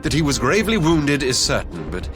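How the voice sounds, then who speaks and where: A man playing a courteous, earnest imperial diplomat in a video game cutscene, with formal, deliberate, articulate phrasing. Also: medium pitch, very animated, over music, close to an everyday voice. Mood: thoughtful.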